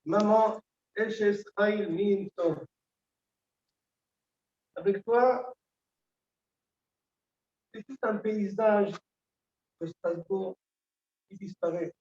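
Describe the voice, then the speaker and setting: Grieving man, halting eulogy in echoey hall. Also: high voice, a little mellow, slight background noise. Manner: halting, with repeated words and long pauses. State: grieving, emotionally moved. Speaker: son giving a eulogy. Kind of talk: funeral eulogy. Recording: echoey hall, distant dull mic.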